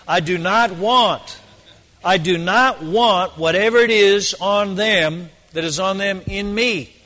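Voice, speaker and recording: A hoarse man preaching a sermon, emphatic and repeating phrases for stress. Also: high voice, slow pace, sharp tone, big-room sound.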